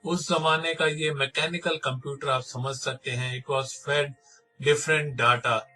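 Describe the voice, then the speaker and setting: A man's voice: mid-range voice, fairly even intonation, pushed and bright. A man with an Indian accent narrating documentary material, mixing scripted reading with conversational explanation. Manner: switches between measured reading and rapid explaining. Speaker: presenter retelling a documentary. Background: background music.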